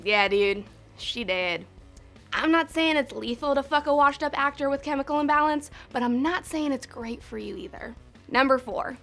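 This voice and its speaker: A woman's voice: sits high, expressive, normal speed, a bit bright, soft background music. A young woman hosting a countdown video, talking in a sarcastic, profane, chatty way. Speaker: host. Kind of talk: snarky countdown commentary.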